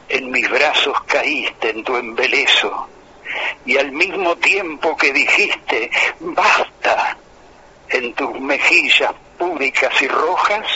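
Man, phone-line audio, slow earnest recitation, very bright tone, faint hiss. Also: medium pitch. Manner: earnest, lingering on lines. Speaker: radio caller reciting poetry. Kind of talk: slow, emotive poetry recitation. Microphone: telephone.

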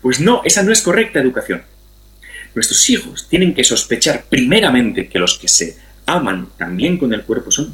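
A man's voice: medium pitch, fairly bright tone. Middle-aged Spanish man in an echoey room. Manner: didactic, repeats phrases for emphasis. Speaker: guest speaker on family and sex education. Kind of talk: conversational explanatory interview talk. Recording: roomy, echoey sound.

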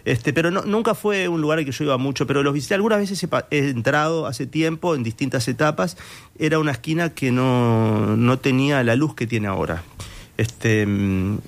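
A male interviewer with a Uruguayan accent talks in an informal, conversational way. He is wordy, thinking out loud and reworking his phrases as he goes, with a middle-range voice. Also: mid-range voice, moderate pace. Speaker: interview host.

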